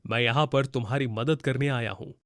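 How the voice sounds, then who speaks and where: A man's voice: medium pitch, fairly even intonation, a little fast, fairly bright tone, no room sound, studio quality, lightly acted. A man voicing a kindly magical talking eggplant in a cartoon story, with a reassuring, helpful manner.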